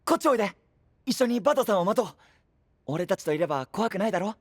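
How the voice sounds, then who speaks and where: A man's voice: high-pitched, no echo. A man playing an earnest, kindly young man in an anime voice drama, casual, open and big-brotherly. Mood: comforting. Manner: casual, open, gentle with children.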